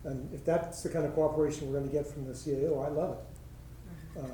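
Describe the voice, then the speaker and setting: A man's voice: on the high side, fairly even intonation, a little fast, warm tone, slight background noise. A man on a public commission, talking in a rambling, self-correcting way at a meeting. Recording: echoey chamber, distant mic.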